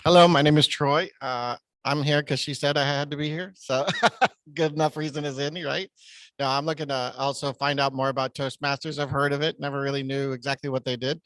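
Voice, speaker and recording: A man introducing himself as a guest in a relaxed, confident, joking way. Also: fairly high pitch, brisk, no room sound, low-quality recording. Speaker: visiting guest. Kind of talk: brief self-introduction.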